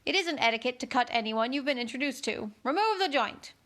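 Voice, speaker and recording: A woman voicing a bossy, imperious queen who barks sharp orders, reading a storybook aloud. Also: high-pitched, expressive, fairly quick. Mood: commanding. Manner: sharp, commanding, loud orders.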